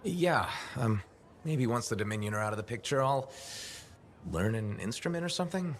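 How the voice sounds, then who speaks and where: An actor playing an easygoing, dryly sarcastic mercenary hero in a video game. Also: male voice, mid-range voice, expressive, moderate pace, a little hiss or hum, lightly acted. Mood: teasing, casual. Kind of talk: video game dialogue acting.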